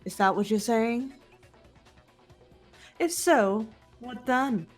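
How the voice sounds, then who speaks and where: A woman's voice: fairly high pitch, slow pace, soft background music. A woman voicing a haughty, gloating young female mastermind in a fan-dubbed video game, grandiose and mocking. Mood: haughty, amused.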